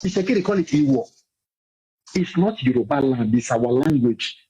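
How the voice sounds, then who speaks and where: A man's voice: mid-range voice, animated, moderate pace, dark tone, slightly rough, rough recording. A man making an impassioned, insistent speech, repeating his points for emphasis, heard as a played clip.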